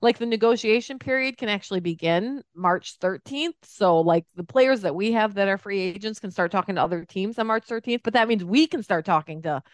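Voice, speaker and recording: Woman, sports podcast co-host. Smooth, clear voice, casual but informative, dry sound. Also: mid-range voice, brisk, few pauses, no echo. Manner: informative, explanatory.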